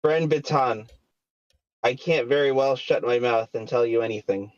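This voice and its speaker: A man's voice: medium pitch, normal speed, no room sound, lightly acted. A man playing a diplomatic, pleading herald in a screenplay table read over a glitchy online call. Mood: formal. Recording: dull, glitchy online voice call, faint music.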